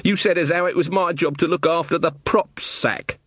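A man's voice: fairly high pitch, fairly even intonation, normal speed, a bit bright, no room sound, voice pushed up. A man voicing a tearful, fearful village man in a storybook, moaning and wailing in rustic grammar. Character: Carter, whining, fearful, tearful village folk dancer.

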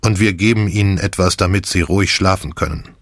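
A man reading as a calm, low-voiced doctor. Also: a little fast, no echo, clean studio sound, lightly acted. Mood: reassuring. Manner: soothing, matter-of-fact. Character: calm, reassuring male doctor, practical and firm. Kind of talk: audiobook dialogue.

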